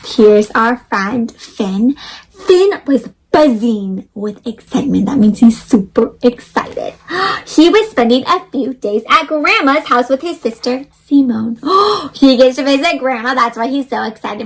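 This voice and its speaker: A woman reads a picture book aloud to young children in a high, very lively voice. She is expressive and exaggerated, addressing the listeners directly with questions. Music plays along with her voice. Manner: animated, expressive, asks listeners questions with pauses. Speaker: host of children's read-aloud.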